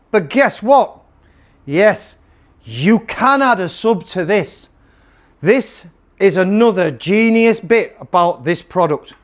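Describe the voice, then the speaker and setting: A man presenting a casual product review to camera, emphatic and salesman-like, repeating phrases to drive his point home. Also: sits high. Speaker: presenter and seller of PA gear.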